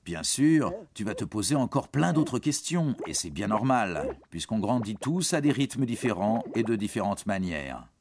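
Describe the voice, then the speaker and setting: A man's voice: on the low side, very animated, normal speed, few pauses, background noise. A man giving scripted educational narration for young teens in a reassuring, explanatory manner. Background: background music.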